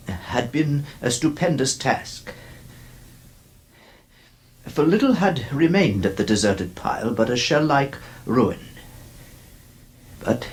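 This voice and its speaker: A grave, aging gentleman, acted by a man, recounts gothic horror in formal, theatrical phrases for a radio play. He speaks very slowly, with wide swings in pitch, over some background noise. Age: older. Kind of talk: dramatic first-person storybook narration, radio horror.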